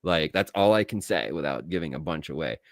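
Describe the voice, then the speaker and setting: A man co-hosting a casual movie review podcast, talking in an excitable, blunt way with plenty of swearing. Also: rumbling low, a little fast, dry recording, clean studio sound. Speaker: podcast co-host.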